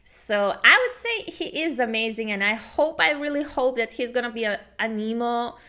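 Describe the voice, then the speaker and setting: Bright-voiced excitable woman streamer. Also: on the high side, moderate pace. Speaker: reaction video host, gaming streamer. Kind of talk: casual reaction commentary. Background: game music.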